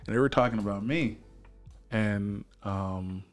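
A young man from a Haitian family raised in America, co-hosting a podcast in relaxed chat, swearing often and trailing off with ums. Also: on the low side, a little slow, faint music behind. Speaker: podcast co-host. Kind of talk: casual chat.

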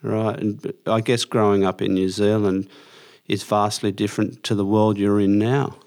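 A man hosting a podcast in a studio, asking relaxed, short interview questions with plenty of fillers. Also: lowish voice, normal speed. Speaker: podcast host. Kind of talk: casual interview.

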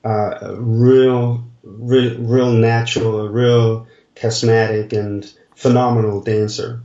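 A man in his forties speaks in a slow, halting way, often stopping to find the right word. His voice comes through a distant, roomy remote connection. The audio is dull and muffled. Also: mid-range voice, little pitch movement, dark tone. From Denmark. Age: 40s. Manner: slow, halting, searching for words. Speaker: dancer guest. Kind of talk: casual interview answers.

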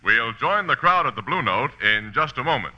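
A man's voice: mid-range voice, very animated, moderate pace. An American man announcing for 1940s radio, with a polished, emphatic sales delivery. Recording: dull vintage radio recording, faint music.

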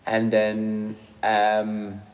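Slow-speaking man, low voice. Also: completely flat delivery. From Flemish Belgium. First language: Dutch. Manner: thoughtful, hesitant, many fillers and restarts. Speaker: primary school teacher interviewed by a pupil. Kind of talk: casual interview answers.

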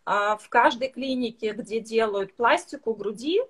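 Hesitant woman with a somewhat high voice. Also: a little slow, husky. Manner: hesitant, many filler sounds, occasional slips between languages. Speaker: medical permanent makeup specialist. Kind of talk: online live interview.

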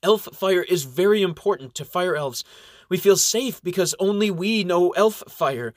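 A man's voice: high-pitched, measured pace, no room sound, studio quality, pitched above the performer's own voice. A man reading a storybook voices a worried young female fire elf who speaks broken English with a foreign lilt. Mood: earnest, anxious.

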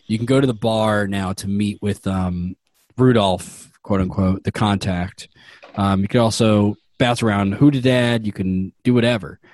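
A man's voice: fairly low pitch, fairly even intonation, a little slow, a little mellow, no echo. A man narrating a comedic tabletop game as game master, rambling with lots of fillers. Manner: rambling, many fillers, laughs often.

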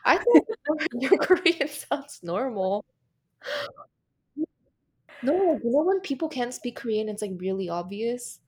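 A young woman from the United States co-hosts a podcast, chatting in an animated, exclamatory way. Her voice sits a little above average in pitch, and she laughs often between remarks. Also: measured pace, long pauses, husky. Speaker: podcast co-host. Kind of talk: casual chat.

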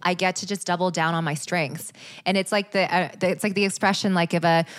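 Soft-spoken earnest woman on a podcast. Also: on the low side, fairly even intonation, a little fast. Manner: earnest, fluent, many "like"s and "right?". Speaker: podcast guest, healer. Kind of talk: casual podcast chat.

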